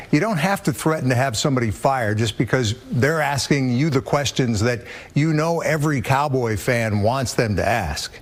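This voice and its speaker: A man hosting a TV sports show, delivering scripted commentary in a punchy, conversational anchor style. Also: medium pitch. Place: TV studio.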